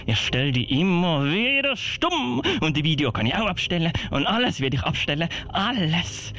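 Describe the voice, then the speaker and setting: A man's voice: fairly high pitch, big pitch swings, normal speed, bright, forceful tone, heavily acted. A man playing a greedy, pompous, megalomaniac villain doctor in a theatrical children's audio play. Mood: menacing, gloating.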